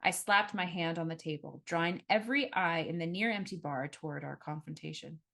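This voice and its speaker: A woman with a slightly low voice narrates first-person fiction from a script. Her storytelling is expressive and dramatic, in the manner of an author reading a novel aloud. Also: a little fast, vocal fry.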